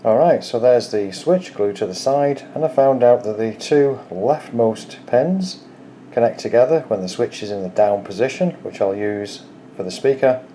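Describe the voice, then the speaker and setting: A man's voice: medium pitch, moderate pace, warm tone, a little hiss or hum. A man hosting a casual DIY electronics tutorial, explaining each step in a plain-spoken, informal way. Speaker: DIY electronics video host.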